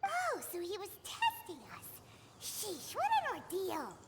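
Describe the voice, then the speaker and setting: A woman voicing a tiny, excitable female fairy companion in a video game, chirpy and curious, with a squeaky, childlike sound. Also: unhurried, noisy background, heavily acted. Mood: sassy. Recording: game audio under loud music.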